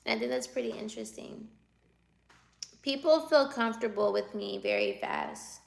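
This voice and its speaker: A woman's voice: fairly high pitch, huge pitch swings, moderate pace, creaky. A woman in her twenties chatting on a livestream, teasing and laughing as she answers viewer comments aloud. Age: 20s. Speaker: livestreamer reading chat. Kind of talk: casual live chat.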